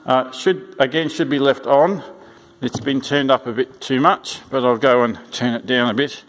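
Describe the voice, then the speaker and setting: A man giving an informal, unscripted instructional walkthrough in a plain-spoken, halting way, repeating his instructions. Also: mid-range voice, steady tone. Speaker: church sound system instructor. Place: indoors in a church.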